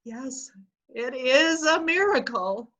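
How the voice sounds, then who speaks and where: A woman reading the part of a sharp-tongued elderly society lady who questions everything in a teasing, incredulous way. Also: high voice, animated, slow, heavily acted. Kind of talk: readers theatre reading.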